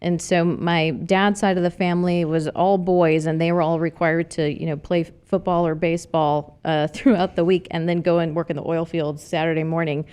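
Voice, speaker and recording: A woman's voice: fairly low pitch, flat delivery, moderate pace, few pauses, darkish tone, smooth. A middle-aged woman with a slight Texas accent, a political candidate talking earnestly in a casual podcast conversation, with many fillers and restarts. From New Mexico, raised in Arizona.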